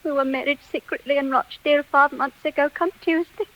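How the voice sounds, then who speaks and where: An actress plays a proud, heartsick young servant girl from an old English radio drama. Her voice is high and plaintive, full of emotion. The 1940s recording sounds muffled. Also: fairly even intonation, fairly quick, natural voice. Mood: despairing, hurt.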